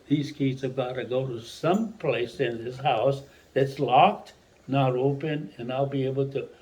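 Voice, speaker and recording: A man's voice: medium pitch, low-quality recording. Older Mexican-born man telling stories. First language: Spanish. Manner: rambling, animated storyteller who restarts sentences. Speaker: retired Spanish teacher. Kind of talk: family storytelling.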